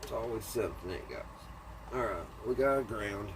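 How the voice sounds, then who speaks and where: A man's voice: fairly low pitch, expressive, moderate pace. A man in a casual hands-on repair video, thinking aloud in a folksy, muttering way as he works. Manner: folksy, muttering to himself while working. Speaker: hobbyist repairing an antique radio. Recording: clearly noisy hands-on home video.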